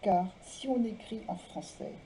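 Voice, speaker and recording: Woman reading literary stuff aloud at a talk, soft mellow tone, measured phrasing, bit of background noise. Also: mid-range voice, poor audio. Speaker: presenter reading writers' testimonies aloud. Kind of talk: literary talk, biographical introductions and read-aloud texts.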